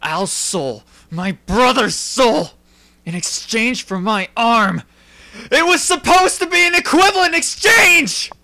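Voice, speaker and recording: Man as fiery, earnest teen boy alchemist, anime dub, emotionally intense, bright edgy tone. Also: very high voice, animated, slow pace, vocal fry, no echo, pitched above the performer's own voice, full character voice. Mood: anguished. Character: hot-tempered, earnest teenage boy alchemist with fierce feelings.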